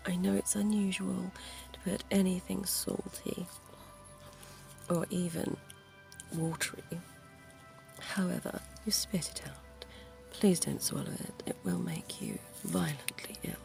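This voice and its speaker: Woman as a slow, slinky vampire dentist, flirty ASMR whisper, low and gravelly. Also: heavily acted. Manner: soft, teasing, intimate whispering. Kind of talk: ASMR roleplay.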